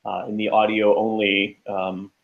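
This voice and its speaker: A man's voice: fairly low pitch, little pitch movement, measured pace, gravelly, narrow, tinny audio. A man co-hosting a casual chat show, asking questions in a jokey, lightly formal way. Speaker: podcast co-host.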